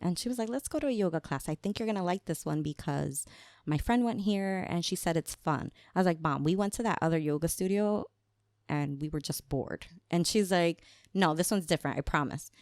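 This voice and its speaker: Low, gravelly chatty woman. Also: fairly quick, darkish tone, dry recording, clean studio sound. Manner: chatty, laughs often, mixes in Spanish phrases. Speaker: podcast guest. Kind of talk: casual conversation.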